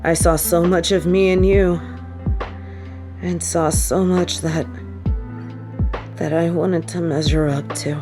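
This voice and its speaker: A woman voicing a sardonic supervillainess, unhurried, vengeful yet tender, intimate roleplay delivery, faint music behind. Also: fairly low pitch, fairly even intonation. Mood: vulnerable, confessing. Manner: teasing, sighing, halting when confessing. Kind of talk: intimate audio roleplay acting.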